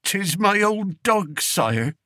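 A man's voice: high voice, sing-song, takes it slowly, sharp tone, dry recording, studio quality, voice pushed up, heavily acted. A man voicing a frightened, tearful old male shepherd who speaks in a rustic dialect and wheezes. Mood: pleading, tearful.

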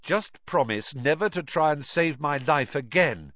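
A man's voice: fairly high pitch, animated, measured pace, fairly bright tone, dry recording, dull audio. A man voicing a plucky, good-natured schoolboy hero in an audiobook, plain-spoken and modest. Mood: amused.

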